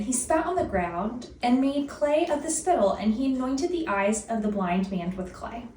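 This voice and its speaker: Casting: female scripture reader, higher voice, very slow formal recitation, recorded in an echoey room. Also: darkish tone. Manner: measured, deliberate recitation. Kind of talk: formal scripture reading from an old-fashioned translation. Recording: distant mic, echoey room, faint noise.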